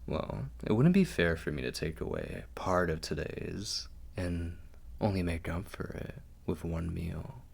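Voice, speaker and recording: A man acts out a refined vampire lord for an ASMR roleplay. He is very low-voiced and gravelly, doting yet fastidious. His formal words come slowly and softly, often sinking toward a whisper. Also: sing-song, heavily acted. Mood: gently persuasive. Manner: formal, measured, softly coaxing. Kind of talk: ASMR roleplay, intimate scripted monologue.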